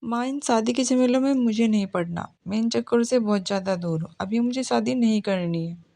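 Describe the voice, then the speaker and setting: A woman's voice: fairly high pitch, a little fast, gravelly, dark tone, no echo. A woman voicing cartoon dialogue as a fretful mother and her headstrong young daughters. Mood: protesting.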